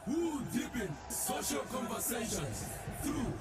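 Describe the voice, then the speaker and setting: A man shouting the lines of a radio station promo. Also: very high-pitched, very animated, measured pace, a loud crowd. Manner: shouting, emphatic. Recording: echoey, distant, over loud music. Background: loud music.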